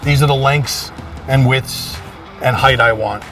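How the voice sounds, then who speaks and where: A man's voice: medium pitch, steady tone, a little slow, a bit bright, recorded from a distance, faint music behind. An American man, a fire chief, explaining equipment in a practical, plain-spoken way during a walk-through. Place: outdoors around a fire truck.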